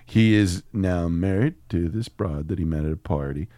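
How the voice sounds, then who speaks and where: A man's voice: low-pitched, moderate pace, dark tone, dry recording. A man chatting casually as a podcast co-host, rambling through stories with plenty of swearing. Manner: crude, swearing, rambling storytelling. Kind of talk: casual chat about a film.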